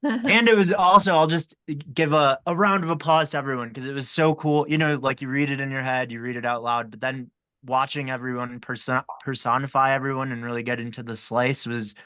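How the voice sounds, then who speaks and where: A man's voice: medium pitch, steady tone, a little fast, a bit bright, clear, resonant tone. Earnest man on dull video-call audio. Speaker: actor in a table read discussion. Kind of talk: casual group discussion.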